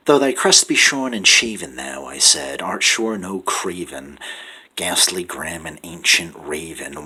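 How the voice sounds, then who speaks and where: Deep husky man reciting in whispers. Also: poor audio. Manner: hushed, often whispering, swelling to loud pleading. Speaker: poetry reader. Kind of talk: dramatic poetry recitation.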